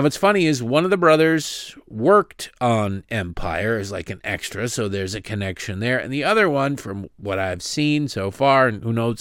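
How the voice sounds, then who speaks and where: A man hosting a show, delivering sarcastic, mocking political commentary with big swings in pitch. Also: a bit bright, no echo, clean studio sound. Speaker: host.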